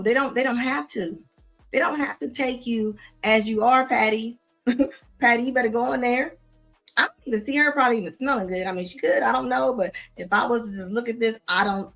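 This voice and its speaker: A woman's voice: a little high, brisk, vocal fry, a bit bright, faint music behind, poor audio. A woman hosting a live show, reacting to clips in a sassy, scolding tone with casual slang, often repeating herself. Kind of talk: live commentary on videos.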